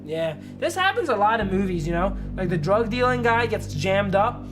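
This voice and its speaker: A man gives loose livestream commentary on a game. He is chatty and slangy and swears freely. Music plays clearly behind his voice. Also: high voice, animated, moderate pace. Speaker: game streamer. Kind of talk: casual livestream commentary and reading game text aloud.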